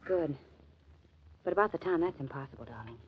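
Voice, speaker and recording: A woman's voice: sits low, animated, a little fast. An actress playing the detective's cheerful, breezy girlfriend in a 1940s radio drama. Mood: perky. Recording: dull old radio recording, faint hiss.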